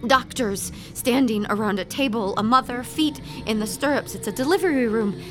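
A woman's voice: a little high, moderate pace, close to an everyday voice. An actress playing a haunted young woman in a horror audio drama, speaking with dramatic intensity. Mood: troubled. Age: young adult. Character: haunted young woman artist, channelling a murdered high school girl. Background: music.

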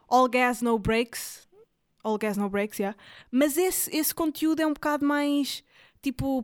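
Portuguese lady podcast host rambling on, lots of filler words, highish voice, dry close sound. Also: clean tone, no room sound. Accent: European Portuguese. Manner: rambling, chatty, full of fillers and English words. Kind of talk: casual chat recommending videos.

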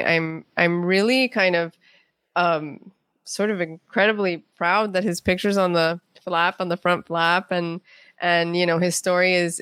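Female interview guest, writer, slightly low pitch, conversational animated storyteller, dry close sound. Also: smooth, dry recording.

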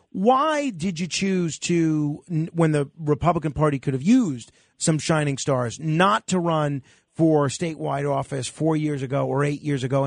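A man's voice: on the high side, creaky, dry recording. A man hosting a radio talk show in a studio, interviewing a guest with long, winding questions full of restarts.

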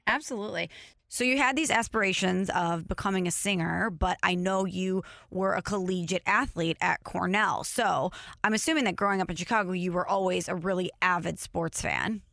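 A woman hosting an interview with a gravelly, deep voice, asking curious, eager questions. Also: moderate pace, a bit bright, no room sound, clean studio sound. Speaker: podcast host. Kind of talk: casual interview chat.

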